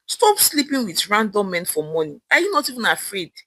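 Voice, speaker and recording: Animated, hopeful young Nigerian woman, acted by a storyteller. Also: medium pitch, a little fast, no echo, clean studio sound, exaggerated voice. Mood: reproachful. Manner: exclamatory, talking to herself. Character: excitable, hopeful young woman seeking honest work.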